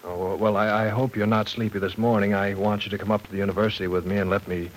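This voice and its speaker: A man's voice: on the low side, level tone, fast, close to an everyday voice. A man playing a genial, courteous university professor in a classic radio drama, stammering apologetically and slightly flustered. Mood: apologetic, playful. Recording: noisy old radio recording.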